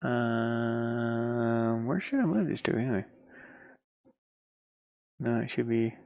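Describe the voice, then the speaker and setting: Creaky-voiced man muttering through computer work. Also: medium pitch, slow pace, no echo. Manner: muttering to himself, grumbling at the software. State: tired. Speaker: indie game developer working on camera. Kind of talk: casual thinking-aloud screen-work stream. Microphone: headset.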